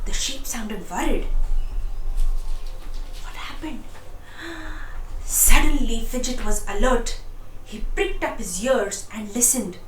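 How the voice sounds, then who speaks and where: A woman narrating a children's storybook in a lively, expressive read-aloud style, addressing young listeners directly. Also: a little high, slow, long pauses, roomy sound, a little hiss or hum, poor audio.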